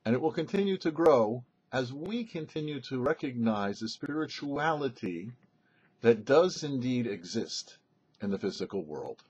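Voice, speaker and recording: Male rabbi for a short Torah teaching: rough-edged husky voice, widely varied intonation, deliberate and explanatory. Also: mid-range voice, expressive, a little mellow, muffled recording. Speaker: rabbi presenting a weekly Torah portion show. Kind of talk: religious teaching, scripted-sounding Torah commentary.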